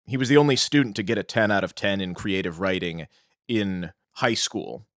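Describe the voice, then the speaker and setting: A man's voice: deep voice, moderate pace, no room sound, clean studio sound. A man co-hosting a podcast, telling a researched story in an informal, explanatory, conversational way. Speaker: podcast host.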